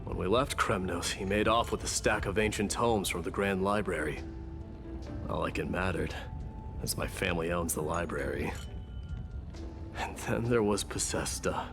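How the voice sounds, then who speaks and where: An actor voicing a stoic exiled warrior prince in a video game, speaking in a solemn, measured, reflective manner as he mourns fallen comrades. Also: low-pitched, music in the background. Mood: solemn, nostalgic. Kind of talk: dramatic scripted game narration.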